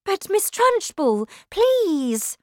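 A woman voicing a timid, kind young schoolteacher who pleads in a high, anxious voice. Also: very animated, very slow, no room sound, studio quality, full character voice. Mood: pleading.

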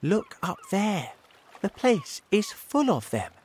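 A man voicing a thoughtful, resourceful young boy in a storybook, earnest and deliberate. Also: high voice, huge pitch swings, darkish tone, slight background noise, voice pushed up, exaggerated voice. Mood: explaining.

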